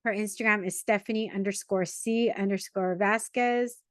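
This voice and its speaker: A woman hosting a podcast interview, a lively storyteller who mixes Spanish words into her English. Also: mid-range voice, normal speed, dry recording, studio quality. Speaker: podcast host. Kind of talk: casual interview chat.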